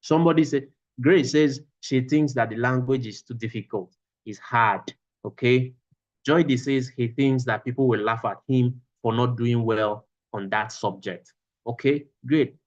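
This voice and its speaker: A man leads an online lesson, going back over his own phrases to drive each point home. His voice sits at an ordinary middle pitch. The call audio is a little muffled. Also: unhurried. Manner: repeats phrases for emphasis. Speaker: teacher. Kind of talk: online class lecture.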